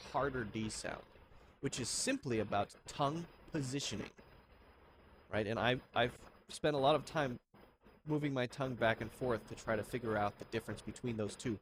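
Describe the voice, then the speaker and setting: American guy coaching accent sounds, pitch jumping all over, faint hiss. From United States. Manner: demonstrates sounds, lively delivery. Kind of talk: pronunciation lesson.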